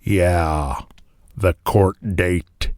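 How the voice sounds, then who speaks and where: A heavyset, gruff wrestling boss in an audio drama. His voice is very deep and flat, and he speaks extremely slowly, laconic and distracted. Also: dry recording, full character voice. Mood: preoccupied. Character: gruff, taciturn, preoccupied male wrestling promoter, heavyset.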